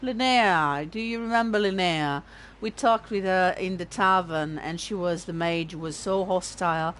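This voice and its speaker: A woman's voice: lowish voice, a little slow, fairly bright tone. A woman from Italy with an Italian accent, a native Italian speaker, chatting and thinking aloud in a self-deprecating way while commentating a video game playthrough. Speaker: let's-play host. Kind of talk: casual gameplay commentary.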